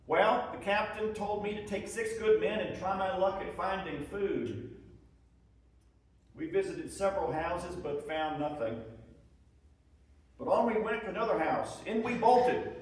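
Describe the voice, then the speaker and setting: Man acting a folksy Civil War soldier, echoey room. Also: high voice. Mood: weary, grim. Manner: plain-spoken, folksy storytelling with rustic grammar. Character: weary, defiant Civil War soldier writing home to his wife. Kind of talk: dramatic reading of wartime letters. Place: live presentation in an echoey room. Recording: echoey room, distant microphone.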